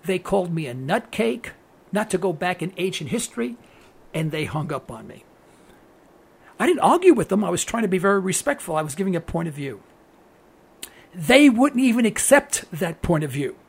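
A man's voice: high-pitched, big pitch swings, normal speed, vocal fry, slightly rough. A man with a New York accent hosting a political talk radio show, delivering an earnest, preachy monologue that repeats phrases for emphasis.

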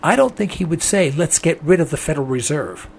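Hoarse, gravelly male talk radio host. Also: a little high, expressive, fairly quick, a little hiss or hum. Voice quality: strained, gravelly voice. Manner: rambling, folksy, addresses listeners as folks. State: hoarse from a throat infection. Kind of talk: call-in radio monologue.

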